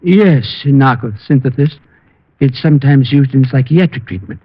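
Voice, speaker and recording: A man's voice: mid-range voice, moderate pace, husky, lightly acted. A man playing a proper, slightly flustered doctor with precise, formal speech in old radio style. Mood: explanatory. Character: proper, professional male doctor, mildly flustered. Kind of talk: old-time radio drama acting. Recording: muffled old radio recording.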